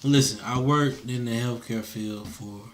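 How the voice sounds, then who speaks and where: A man's voice: mid-range voice, a little slow. A man talking casually as an interviewer, in an informal, slangy way, repeating short phrases for emphasis. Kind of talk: casual conversational chat.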